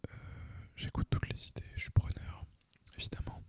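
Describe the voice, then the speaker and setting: A young man speaks entirely in a soft whisper, as an ASMR gaming host would. His chat is informal and hesitant, drifting along without hurry from one thought to the next. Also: moderate pace. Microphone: microphone recorded through a phone, voice-over on gameplay.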